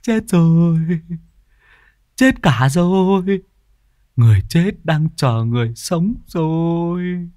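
A man's voice: on the high side, animated, slow, warm tone, no echo, clean studio sound, voice pushed up. A man voicing a ragged, crazed old sorcerer in an audio horror story, rambling and repeating himself with a cackling manner. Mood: cackling, ominous. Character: ragged crazed old male sorcerer, menacing then whimpering.

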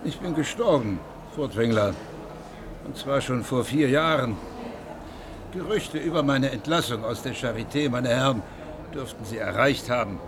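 An actor in a radio play voicing a dead, disgraced old surgeon, self-assured and biting, in clipped declamatory phrases. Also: male voice, medium pitch, lively intonation, moderate pace, crowd noise, close to an everyday voice. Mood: dry indignation.

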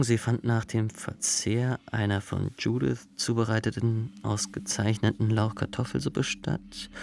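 An earnest young inspector in a radio drama. His voice is lowish and creaky, and he moves slowly and methodically through his words. The delivery is formal, clipped and full of zeal. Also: darkish tone. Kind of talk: radio drama, dictated case log monologue.

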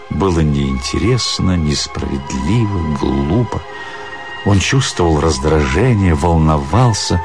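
A man reads a literary story as a radio narrator, in a measured, dramatic way with deliberate pauses. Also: rumbling low. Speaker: actor reading a short story.

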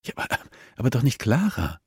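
A composed professional woman in an audiobook, voiced by a man. The pitch is lifted and the manner is gentle and coaxing, with quiet self-assurance. The recording is very dry. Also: huge pitch swings, brisk, gravelly, husky, dry recording, heavily acted. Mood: challenging. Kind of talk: audiobook character dialogue.

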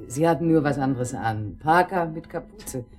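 An actress playing an emphatic, bewildered holiday-house caretaker in a naturalistic radio drama. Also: female voice, deep voice, lively intonation, moderate pace, soft background music. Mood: insistent. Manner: emphatic, puzzled.

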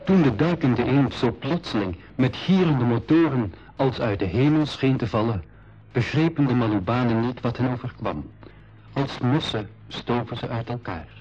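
An actor narrating a radio adventure as one of its travellers, in measured, literary storytelling. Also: male voice, medium pitch, expressive, darkish tone. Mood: brisk, relieved. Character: composed male adventurer recounting his own tale. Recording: dull radio recording with clear noise.

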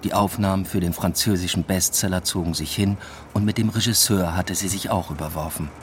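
A man's voice: deep voice, steady tone, fairly quick, a little hiss or hum, close to an everyday voice. An actor playing a searching, lovelorn young man adrift abroad, narrating a radio drama in a reflective, understated way. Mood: wistful.

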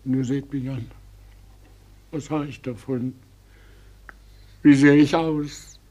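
Husky, broken old weaver. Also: male voice, mid-range voice, steady tone, darkish tone, muffled recording. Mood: anguished outcry. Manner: halting, emotional, murmurs and cries out. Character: wretched, worn-out old weaver, bitter and aggrieved. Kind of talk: German radio drama acting.

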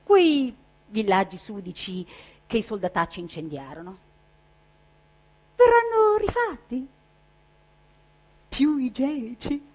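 Slow declamatory woman with a husky edge. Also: fairly high pitch, very animated, darkish tone. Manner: declamatory, with stretched words and long pauses. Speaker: stage reader. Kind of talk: theatrical literary recitation.

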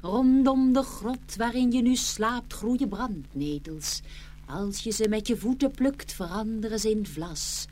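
Dream fairy: solemn, deliberate, unhurried, storybook drama with faint noise. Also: female voice, fairly high pitch, lively intonation, darkish tone. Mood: solemn warning. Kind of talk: fairy-tale radio play acting.

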